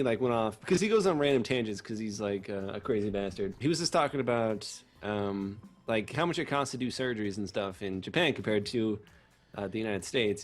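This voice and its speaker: A young man telling stories casually as a podcast co-host, rambling and swearing crudely for comedy. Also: lowish voice. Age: young adult.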